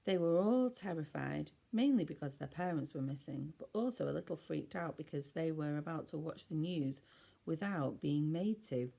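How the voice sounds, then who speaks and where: A woman's voice: low-pitched, expressive, moderate pace, vocal fry, dark tone. A woman reading a children's storybook aloud expressively, as a teacher does for a class.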